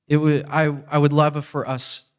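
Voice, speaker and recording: A native English-speaking man speaking as a guest church teacher, in short halting phrases with pauses left for an interpreter. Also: a little high, little pitch movement, clear, resonant tone.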